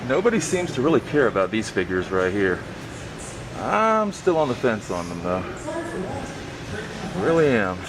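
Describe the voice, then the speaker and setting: A man's voice: lowish voice, big pitch swings, a little slow, long pauses. A man hosting his YouTube show, narrating in a casual vlog style. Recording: loud background noise.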